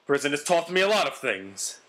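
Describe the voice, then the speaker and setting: Man playing a brash, bright-voiced boastful quarterback. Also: fairly high pitch, big pitch swings, fairly quick. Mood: earnest, preachy. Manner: melodramatic, boastful. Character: brash, self-important ex-convict beast quarterback telling his story.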